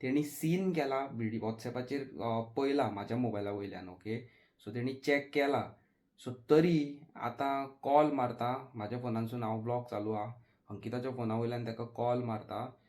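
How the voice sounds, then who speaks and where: A man's voice: medium pitch, normal speed, darkish tone, dry recording. A man from Goa with a Goan accent, vlogging casually to the camera. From Goa, India. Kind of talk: casual vlog chat to camera.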